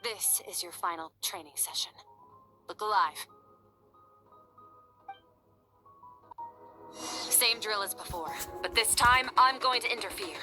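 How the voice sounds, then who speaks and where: A woman's voice: medium pitch, moderate pace, over music, lightly acted. A woman voicing a cool, stoic warrior mentor in a video game, composed, blunt and instructive. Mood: commanding. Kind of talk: scripted video game dialogue.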